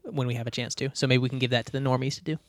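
A man's voice: medium pitch, steady tone, rapid-fire, no room sound. A man playing a tabletop role-playing game, chatting casually and thinking aloud in broken phrases. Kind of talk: casual chat.

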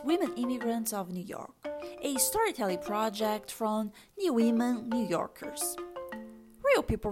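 A woman hosting a podcast, reading a scripted introduction in an upbeat way. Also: high voice. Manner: bright, upbeat read with lively emphasis. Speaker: podcast host. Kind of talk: scripted narration.

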